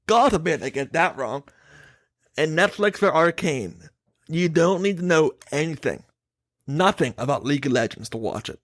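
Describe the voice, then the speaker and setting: A man's voice: a little high, expressive, moderate pace, no echo, studio quality. A man hosting a casual podcast, giving his opinions emphatically and swearing casually. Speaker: podcast host.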